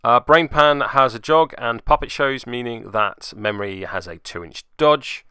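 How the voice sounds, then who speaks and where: A man's voice: medium pitch, unhurried, pushed and bright, clear, resonant tone, no echo, studio quality. A man narrating a casual play-by-play recap of a tabletop game as its host, with wry, self-deprecating asides.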